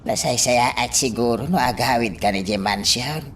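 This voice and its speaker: An excitable, loyal older uncle in an Ilocano radio drama, played by a man. He has an Ilocano accent, a mid-pitched voice and a habit of sighing exclamations. The sound is a little off-mic with faint background noise. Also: normal speed, recorded from a distance, natural voice. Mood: concerned, urging.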